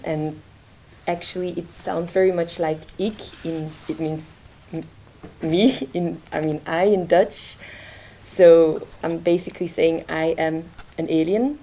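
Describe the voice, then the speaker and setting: Young woman artist, slow halting talk with fillers, lowish voice, presenting in a lecture hall. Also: dark tone, slight background noise. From Belgium. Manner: hesitant, many fillers, gestures while talking. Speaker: artist presenting her work. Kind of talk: informal talk at a launch event. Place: lecture theatre, indoors.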